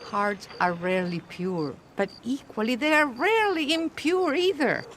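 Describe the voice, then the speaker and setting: Gentle, lively-voiced philosophical nun. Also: female voice, a little high, moderate pace, a little hiss or hum. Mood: earnest, consoling. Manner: gentle, reflective, good-humoured. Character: kindly, philosophical older nun, gently amused. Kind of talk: video game cutscene acting.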